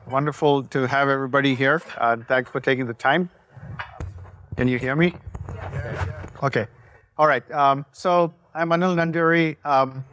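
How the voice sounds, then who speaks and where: A man in his fifties introduces himself and his work on a conference stage. His voice sits at a middle pitch, and he speaks unscripted, as an executive panelist would. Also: a bit bright.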